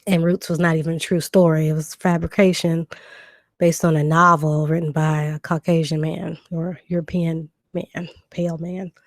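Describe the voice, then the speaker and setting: A woman hosts a casual livestream, her voice a bit low and hoarse from a cold. She reads out viewer comments and answers them in a loose, conversational way, filling pauses with ums. The sound is dry and close, with no room echo. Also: level tone. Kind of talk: casual live stream chat.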